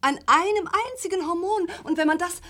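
A woman's voice: extremely high pitch, brisk, fairly bright tone. An actress playing a flustered, over-earnest middle-aged mother in a radio play, trying hard to sound tolerant and stumbling as she goes. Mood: eagerly reassuring.

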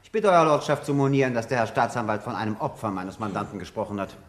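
A man's voice: fairly low pitch, quick pace, echoey room, lightly acted. An actor playing an earnest defense lawyer in a radio crime drama, pleading formally for his client.

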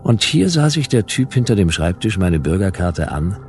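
Hard-boiled detective narrator, rock-bottom pitch, gravelly fry, dry wit, radio play. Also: male voice, very animated, moderate pace, faint music behind. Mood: dry, matter-of-fact. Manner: laconic, wry.

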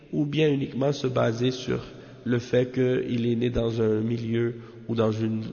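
A man's voice: medium pitch, vocal fry, darkish tone. A man giving an unscripted religious lesson, explaining didactically and repeating phrases for emphasis. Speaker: religious teacher. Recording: muffled, long hall-like reverb.

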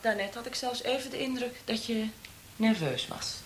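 An actress playing a cold, taunting secret police interrogator in a radio drama, speaking with controlled, coolly formal menace. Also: female voice, on the high side, lively intonation, normal speed, lightly acted. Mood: mocking, teasing. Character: cold, mocking female secret police interrogator, perfectionist and cruel. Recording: noisy radio play recording with clicks.

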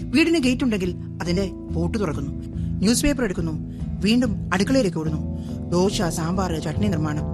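A brisk, ironic middle-aged wife and mother from Kerala, played by an actress in a radio play, speaking straight to the listener. Her voice sits at a middle pitch, and soft music plays faintly behind her. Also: natural voice. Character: chatty, wry Malayali wife and mother, outspoken feminist. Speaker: monologue narrator. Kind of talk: radio drama monologue.

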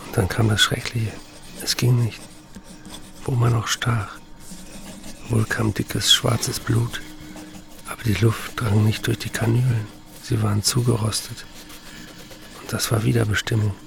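A low-voiced, tormented writer, brooding through his confessions in a literary radio play. His speech is measured, with a gravelly creak. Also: little pitch movement. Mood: bleak. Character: tormented, addicted male writer reading his letters and confessions.

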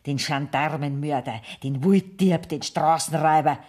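A woman's voice: low-pitched, moderate pace, a little mellow, slightly rough. An actress playing a bitter peasant mother in a radio play, with a Bavarian accent and a blunt, sardonic, accusing manner. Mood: bitter mockery. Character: bitter, mocking peasant mother of a condemned outlaw.

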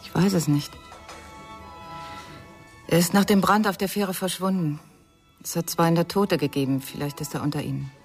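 A woman's voice: lowish voice, fairly even intonation, normal speed, pauses often, a little mellow, faint music behind, close to an everyday voice. Actress as sorrowful, hopeful mother. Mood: quiet grief. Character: sorrowful, reflective mother of a missing son, stubbornly hopeful. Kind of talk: German radio crime drama.

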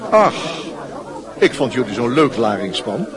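Fatherly schoolmaster, middle aged, mid-pitched, chatty radio drama with busy crowd behind. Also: very animated, measured pace. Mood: kindly, probing. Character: genial, paternal male schoolmaster.

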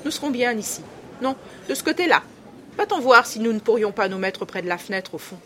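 Woman playing a loud, bossy provincial mother. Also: on the high side, animated, fairly quick, a little hiss or hum, voice pushed up, natural voice.

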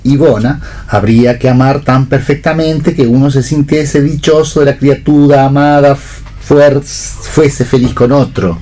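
A man's voice: medium pitch, steady tone, a little slow, dark tone, close to an everyday voice. A man playing a fussy, exasperated theatre prompter in a radio play reading. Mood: insistent.

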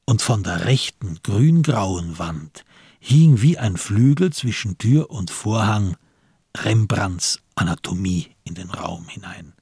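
A man with a gravelly, husky voice giving literary narration in a radio crime drama. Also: lowish voice, expressive, slow, darkish tone, no room sound, clean studio sound.

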